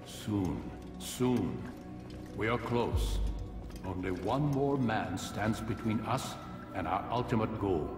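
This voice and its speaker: An actor playing an aged, commanding assassin master in a video game, speaking as a grave, oratorical mentor. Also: male voice, fairly low pitch, lively intonation, slow, roomy sound, in a large hall, far from the mic, over music. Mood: grave, probing. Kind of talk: video game cutscene dialogue.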